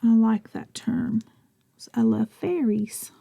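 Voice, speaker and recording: A middle-aged Southern woman chats and rambles slowly while she crafts, her voice gravelly and mellow. She drifts into half-whispers and pokes fun at herself. The sound is close and dry. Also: a little high, no room sound. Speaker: crafting vlogger. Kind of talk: chatty craft tutorial narration.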